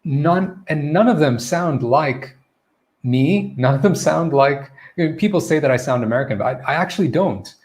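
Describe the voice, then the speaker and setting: A man's voice: fairly high pitch, animated, normal speed, a little mellow. Chatty man teaching a live English lesson. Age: adult, former teacher. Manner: chatty, self-correcting, loose and laughing. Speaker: English pronunciation coach. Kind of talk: casual live-streamed Q&A lesson.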